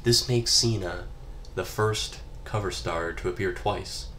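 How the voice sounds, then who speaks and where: A young man talks through a head cold, his voice low and stuffed up. He rambles offhand about a game, loose and casual with the odd swear. A faint hiss sits under the recording. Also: unhurried, fairly bright tone. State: congested from a cold. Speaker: gaming YouTuber. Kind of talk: casual solo gaming commentary.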